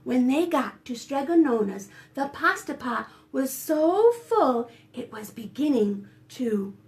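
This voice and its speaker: A woman with a high voice reads a picture book to preschoolers at a slow, careful pace. She is expressive, slipping in dramatic gasps and hushed asides, and speaks gently to her young listeners as a children's librarian would.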